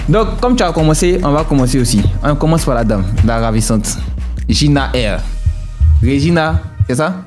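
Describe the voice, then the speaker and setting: An energetic male radio host. His voice sits a little high and he keeps calling out to his audience. Soft music plays under the talk. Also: moderate pace. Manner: shouty hype calls to the audience. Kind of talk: lively hype radio hosting.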